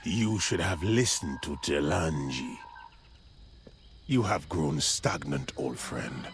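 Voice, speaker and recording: An actor playing a scheming, zealous troll prophet in a video game cutscene, with theatrical, grandiose delivery. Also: male voice, rumbling low, animated, a little hiss or hum, heavily acted. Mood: zealous, defiant. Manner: theatrical, grandiose, slow declaiming. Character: scheming male troll prophet, zealous traitor to his king.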